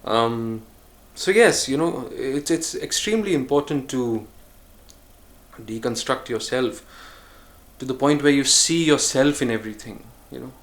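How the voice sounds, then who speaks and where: A man vlogging a reflective solo monologue, musing and earnest, with frequent pauses and restarts. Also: medium pitch. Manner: earnest, speaks of choosing calm over harshness.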